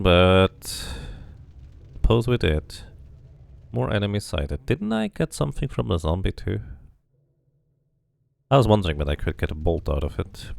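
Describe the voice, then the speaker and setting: A man's voice: on the low side, gravelly. A man giving casual game commentary, thinking aloud and reading bits of game text out as he plays. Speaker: game streamer doing a playthrough.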